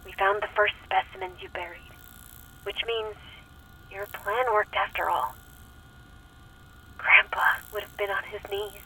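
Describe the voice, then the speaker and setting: An actress playing a haunted, devoted cult follower speaking haltingly with dread on a videotape in a horror audio drama. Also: female voice, mid-range voice, steady tone, bright, forceful tone. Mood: fearful, resolute. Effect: played back from a videotape. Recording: distant, noisy videotape playback.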